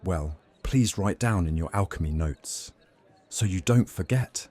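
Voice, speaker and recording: A man playing a young Roman vampire master in an audio drama, measured, teasing and faintly threatening. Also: low-pitched, animated, a little mellow. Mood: menacing, teasing. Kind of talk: audio drama roleplay acting.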